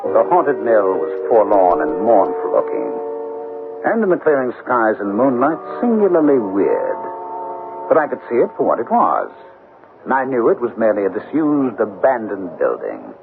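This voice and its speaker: Stubborn skeptical doctor, swooping phone-like sound. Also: male voice, on the low side, huge pitch swings, normal speed, gravelly, over music, full character voice. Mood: somber, reflective. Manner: emphatic, scoffing, formal. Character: stubborn, skeptical male doctor facing a haunted mill. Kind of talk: 1970s radio drama acting. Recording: noisy, narrow-band vintage radio broadcast.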